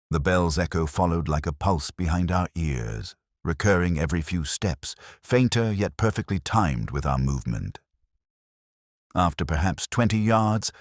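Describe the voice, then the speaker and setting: Very deep-voiced man narrating a mystery story. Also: no echo, studio quality. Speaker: audiobook narrator. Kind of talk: scripted first-person mystery story narration.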